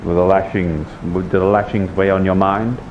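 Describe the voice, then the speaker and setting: A deep-voiced medieval clergyman, played by a man counsels in measured, formal fashion. The sound is dull, with clear noise behind it, as in an online roleplay chat. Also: flat delivery, natural voice. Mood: calm, counseling. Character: calm, counseling medieval abbey clergyman, male. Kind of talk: improvised fantasy roleplay.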